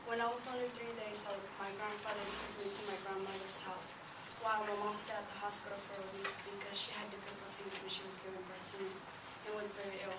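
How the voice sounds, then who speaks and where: A woman with a mid-pitched voice reads a personal story aloud with very little melody. Her delivery is halting, with stumbles and restarts. The recording is echoey, with heavy noise throughout. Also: level tone. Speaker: narrator reading a first-person life story. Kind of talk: read-aloud personal narrative. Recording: noisy, echoey room, distant microphone.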